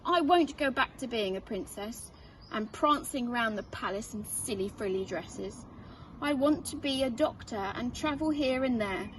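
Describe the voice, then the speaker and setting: A woman voicing a kind, resolute little princess in a children's storybook, caring and plain-spoken. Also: high voice. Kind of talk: children's storybook read-aloud. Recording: distant mic, faint noise.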